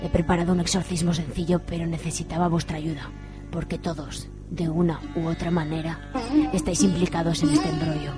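A woman's voice: low-pitched, flat delivery, a little fast, close to an everyday voice. A woman playing a bossy sorceress mother, over music. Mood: bossy, exasperated. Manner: commanding, theatrical. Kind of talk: amateur radio soap opera acting.

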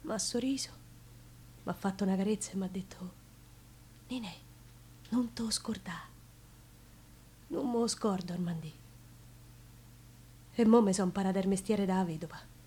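A woman's voice: mid-range voice, a little hiss or hum, natural voice. An actress playing a passionate, plain-spoken working-class woman with a Roman accent, recalling her love in an intimate theatrical monologue. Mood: hushed grief. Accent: Roman Italian. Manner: intimate, emotional storytelling with expressive pauses. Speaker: stage actress.

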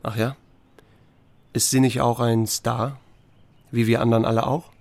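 Laconic male sheriff with a creaky voice. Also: medium pitch, little pitch movement, unhurried, a little mellow, dry recording. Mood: probing, restrained. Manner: terse, understated. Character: small-town sheriff, married man, reserved and laconic. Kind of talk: German radio drama, first-person narration and dialogue.

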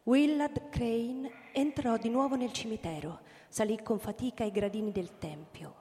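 A woman narrates a literary radio drama in a fairly high, mellow voice. The sound carries a long hall reverb. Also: measured pace.

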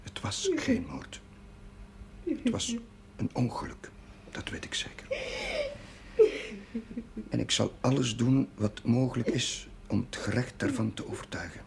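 Penitent chaplain, gravelly, solemn, formal, halting, old TV drama soundtrack, slight noise. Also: male voice, mid-range voice, wildly swooping pitch, full character voice. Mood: hushed, remorseful. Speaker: actor in a television drama. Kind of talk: 1970s Dutch television drama acting. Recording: noisy old television soundtrack.

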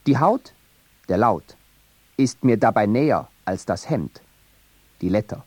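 A man reads an essayistic radio narration in a measured, reflective way. His voice sits low and sounds warm and dark. The studio sound is dry and close. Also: animated, breathy edge, dry recording.

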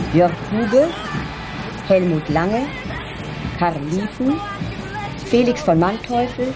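Slow female credits announcer over music. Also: medium pitch. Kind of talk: scripted credits reading.

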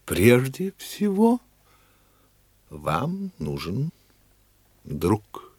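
An actor playing a scheming, ambitious churchman in a radio play, commanding, measured and theatrical, with a husky voice. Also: mid-range voice, huge pitch swings, darkish tone, no echo, clean studio sound, full character voice. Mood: cautious, deliberate. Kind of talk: Russian radio play acting.

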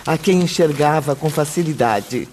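A man giving solemn, measured scripted narration for a radio drama. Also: fairly high pitch, lively intonation, a little mellow, a little hiss or hum. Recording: music behind the voice.

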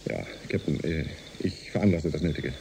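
Dutiful spaceship engineer, deep mellow voice, clipped reports, radio drama, faint noise behind. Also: male voice, normal speed. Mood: uneasy. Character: uneasy spaceship engineer and navigation officer, subordinate. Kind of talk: German radio drama acting.

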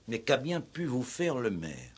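An actor in a 1960s French radio play playing a stern, principled police commissioner with a measured, formal, authoritative delivery. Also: male voice, medium pitch, wildly swooping pitch, slightly rough, exaggerated voice. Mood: probing.

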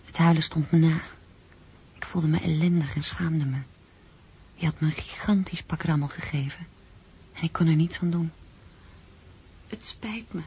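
An actress playing a polite, sensitive young female teacher in a radio drama, speaking in an earnest, soft way. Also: deep voice, moderate pace, long pauses, husky, full character voice. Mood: ashamed. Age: young adult. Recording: radio play with music underneath.

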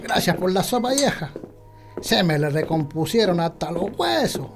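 A stubborn country husband in a Chilean radio drama. His voice sits fairly high and moves up and down a lot. The speech is rustic, colloquial and dismissive, set over prominent music. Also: very animated, moderate pace. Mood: relaxed, homely.

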